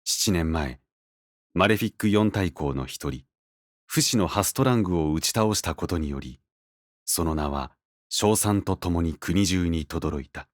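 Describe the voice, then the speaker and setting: Man acting a deep, slow, solemn storyteller. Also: animated, no room sound, clean studio sound. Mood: solemn, awed. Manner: measured, grave, literary. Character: solemn male narrator, awed by a legendary hero. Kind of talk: scripted dramatic narration in an audio drama.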